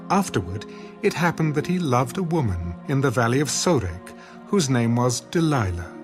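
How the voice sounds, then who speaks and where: A man's voice: medium pitch, very animated, normal speed, over music. A man narrating scripture in a solemn, dramatic storytelling style for an audio production.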